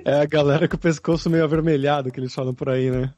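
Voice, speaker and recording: A man hosting a podcast, asking relaxed conversational questions. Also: a little high, fast, no echo, dull audio. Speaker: podcast host. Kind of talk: interviewer questions.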